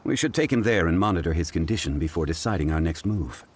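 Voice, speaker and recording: A man voicing a calm, grave, learned gentleman in a video game, formal and measured in manner. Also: low voice, noisy background. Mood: calm, concerned.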